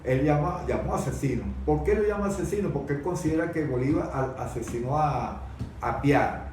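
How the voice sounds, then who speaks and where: A man in a conversational debate, speaking haltingly and repeating words as he searches for phrasing. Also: on the high side, darkish tone. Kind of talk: conversational political-historical debate. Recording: very echoey room, distant mic, faint noise.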